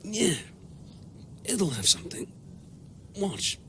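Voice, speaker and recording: An actor playing a brisk, sarcastic alien space traveller in a British comic radio drama. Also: male voice, high-pitched, full character voice. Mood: wry. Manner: excitable, sarcastic. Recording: noisy sound-effect backdrop.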